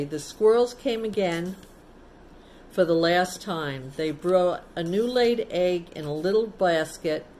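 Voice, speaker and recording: A woman reads a children's storybook at a slow, unhurried pace, like a teacher with a young class. She breaks off for chatty asides, simple explanations and questions to the listeners. There is faint noise behind her voice. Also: lowish voice, a little mellow. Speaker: teacher reading a picture book to children.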